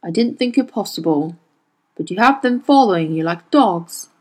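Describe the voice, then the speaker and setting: A woman voicing a powerful, grey-haired older man who speaks in a low murmur. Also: expressive, normal speed, darkish tone, husky.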